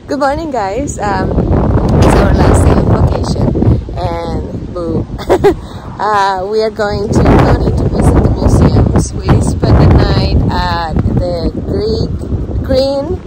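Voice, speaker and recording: A woman's voice: medium pitch, animated, slow pace, heavy background noise. A woman in her thirties filming herself on a road trip, chatting cheerfully to the camera and laughing often. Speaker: travel vlogger. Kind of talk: casual travel vlog to camera. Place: outdoors on a road trip, roadside and campsite. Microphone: handheld camera at arm's length. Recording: music bed underneath.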